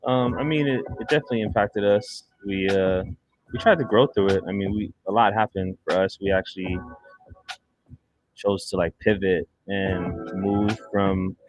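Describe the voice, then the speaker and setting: Laid-back male guest, deep voice, informal studio talk with music clearly audible behind. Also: steady tone, moderate pace, poor audio. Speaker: studio operator, podcast guest. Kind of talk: casual chat. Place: podcast studio, indoors.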